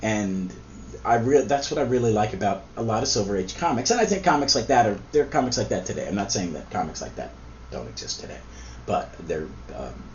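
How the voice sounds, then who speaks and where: A man hosts a relaxed comic book chat, his voice a little hoarse from a cold. He wanders through his points with plenty of ums and restarts. The recording is a bit dull and lo-fi, with a faint hiss underneath. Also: fairly low pitch, a little fast. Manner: rambling, with frequent ums and restarts. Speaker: podcast host. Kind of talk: casual comic book review.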